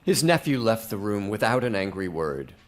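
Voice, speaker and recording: A man's voice: fairly low pitch, big pitch swings, moderate pace. A man narrating a live radio drama in a dramatic storytelling style. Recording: faint background noise, live stage.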